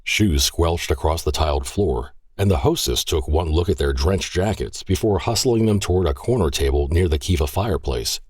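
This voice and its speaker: Deep husky male narrator. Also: animated, moderate pace, hardly any pauses, a little mellow, no echo, studio quality. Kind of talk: scripted audio drama narration.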